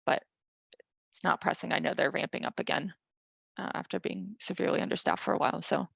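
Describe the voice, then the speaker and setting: Low, creaky woman giving a work update. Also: brisk, fairly bright tone, no room sound. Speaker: working group lead giving an update. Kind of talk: casual work meeting update. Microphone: video call.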